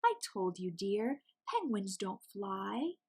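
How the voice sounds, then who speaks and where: A woman voicing a kindly, motherly snow petrel in a storybook, speaking with gentle doubt. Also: mid-range voice, big pitch swings, slow pace, husky, heavily acted.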